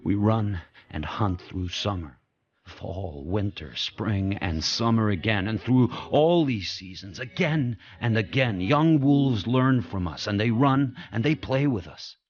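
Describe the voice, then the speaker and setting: A man playing a fierce, brooding sled dog turned pack leader, telling his tale in terse, deliberate phrases in an audio drama. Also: animated, highs cut off. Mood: exultant, proud. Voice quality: very deep, husky. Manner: terse, deliberate first-person narration in short phrases.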